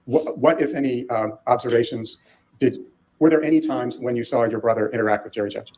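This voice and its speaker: A male attorney puts short, formal questions to a witness in court. He speaks fast, in an ordinary mid-range voice. His manner is direct and businesslike. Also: mid-range voice, warm tone, slight background noise, rough recording.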